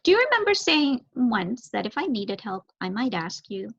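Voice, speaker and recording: A woman voicing a polite, worried mother mouse in a storybook, courteous and gently pleading. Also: fairly high pitch, big pitch swings, normal speed, vocal fry, slightly rough, dull audio, full character voice. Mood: curious, courteous.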